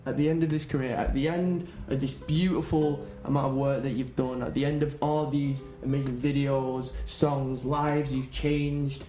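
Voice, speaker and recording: A man's voice: mid-range voice, level tone, moderate pace, hardly any pauses, dark tone. A man hosting a casual interview, encouraging and full of banter. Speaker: host. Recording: echoey studio with music behind.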